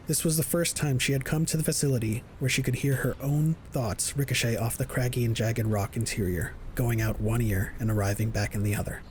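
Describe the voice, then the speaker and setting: A man's voice: mid-range voice, darkish tone, slight background noise. A man reading scripted narration for an audio drama.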